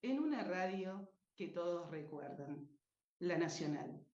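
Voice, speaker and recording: A woman's voice: fairly low pitch, animated, darkish tone. Socorro, troubled old dreamer, acted by a woman, echoey. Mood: defiant, nostalgic. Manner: slow, dramatic, wistful. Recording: echoey room, dull muffled sound.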